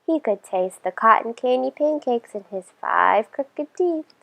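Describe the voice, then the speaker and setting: A woman reading a children's story aloud in an expressive storytelling style. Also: fairly high pitch, a little slow, vocal fry.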